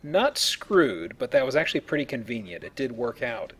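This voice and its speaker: A man plays a game and explains it in an informal, conversational way. His voice sits at a middling pitch, with a little game ambience and noise behind it. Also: big pitch swings, normal speed. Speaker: let's player playing and explaining the game. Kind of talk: casual gameplay commentary.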